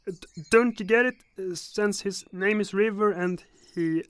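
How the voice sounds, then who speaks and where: A man puts on a playful, pun-loving winged hunter for a fantasy audio drama. The voice is pushed high, eager and jokey, and sounds close and dry. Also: measured pace, a bit bright, smooth, dry recording. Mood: eager, joking.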